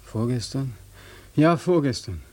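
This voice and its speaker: An actor playing a bitter, desperate young soldier back from war in a radio drama, with a sardonic, intense delivery. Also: male voice, medium pitch, animated, measured pace, darkish tone. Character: bitter, desperate young returned soldier, twenty-five years old. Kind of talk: expressionist radio play acting.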